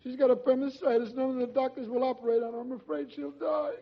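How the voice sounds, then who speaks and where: A distraught old-radio father whimpers in an extremely high, creaky whine. He is comically pitiful, and the recording sounds muffled. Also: level tone, quick pace, exaggerated voice. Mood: tearful. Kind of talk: old-time radio comedy acting.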